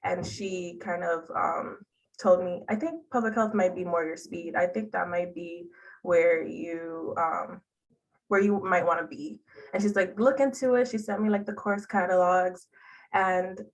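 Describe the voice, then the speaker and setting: A woman's voice: lowish voice, steady tone, unhurried, far from the mic, low-quality recording. A young woman from Haiti, answering interview questions in a chatty way with laughs between phrases. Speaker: interview guest, public health worker.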